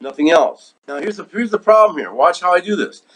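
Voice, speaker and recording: A man's voice: sits high, animated, brisk, a bit bright, low-quality recording. A man giving a casual instructional product demonstration, speaking in a repetitive, emphatic way and often checking in with the listener. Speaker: product reviewer.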